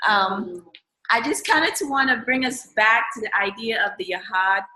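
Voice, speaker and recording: Soft-spoken woman from Cameroon, echoey room. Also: mid-range voice, normal speed. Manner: hesitant, frequent ums. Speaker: audience member. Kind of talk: personal testimony at a live forum.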